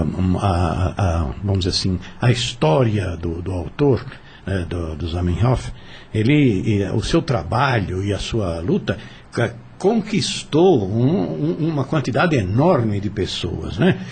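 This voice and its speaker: A man with a Brazilian accent explaining ideas in a discussion, haltingly, repeating words as he searches for the next. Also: lowish voice, very animated, darkish tone, slight background noise.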